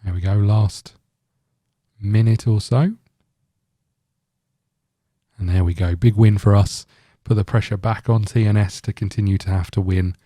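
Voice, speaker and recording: A man's voice: lowish voice, fairly even intonation, measured pace, pauses often, dark tone, no echo, studio quality. A man hosting a gaming video, giving casual let's-play commentary in a rambling, thinking-aloud way.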